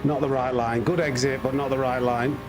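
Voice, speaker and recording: A man's voice: mid-range voice, steady tone, fairly quick. A man giving casual live commentary as he plays a racing game, critiquing his own driving and chatting to viewers. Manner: muttering self-critique, addresses himself and viewers. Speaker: live streamer hosting a sim racing stream. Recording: clear engine noise behind voice. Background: racing game car engine sounds.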